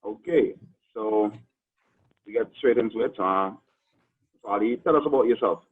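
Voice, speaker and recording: A man hosting a radio interview show, asking questions in a relaxed, chatty way. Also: fairly low pitch, moderate pace, breathy edge, narrow, tinny audio.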